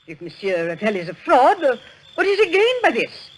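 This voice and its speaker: An actress playing a stubborn, lonely aging widow in an old-time radio drama, querulous and fussy, on a noisy old recording. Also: female voice, sits high, wildly swooping pitch, moderate pace. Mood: defensive. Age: older. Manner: querulous, fussing.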